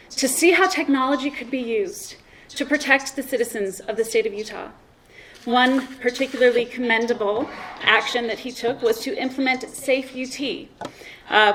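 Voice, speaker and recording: A woman with a somewhat high voice reads a formal tribute at a ceremony microphone. Her delivery is steady, with a few slips and restarts, over faint noise. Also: rough recording. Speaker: presenter reading a citation. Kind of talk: reading a prepared tribute.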